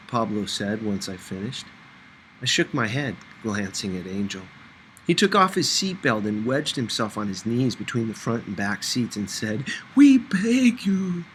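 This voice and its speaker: A man's voice: fairly low pitch, a bit bright, a little hiss or hum, rough recording. A man reading a first-person novel aloud as scripted audiobook narration. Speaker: audiobook reader.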